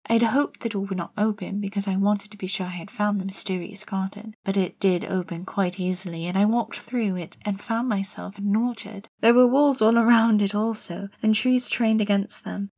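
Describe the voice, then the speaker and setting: A woman voicing a lonely, curious young girl, narrating her own story in the first person with a soft, hushed delivery. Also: medium pitch, lightly acted. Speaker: narrator and lead actor in an audio drama.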